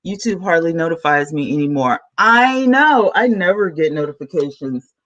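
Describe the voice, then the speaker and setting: A woman YouTuber, chatting excitedly to her viewers from home while she sets up a new microphone. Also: fairly low pitch, moderate pace, highs cut off. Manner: chatty, excitable, talks to chat. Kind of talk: casual vlog chat to viewers. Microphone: camera mic, then a new plug-in USB mic.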